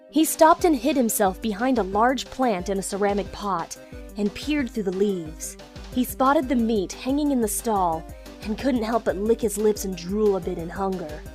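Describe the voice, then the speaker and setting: A woman's voice: medium pitch, animated, moderate pace, darkish tone, faint music behind. A woman narrator reading a scripted story in an audio drama.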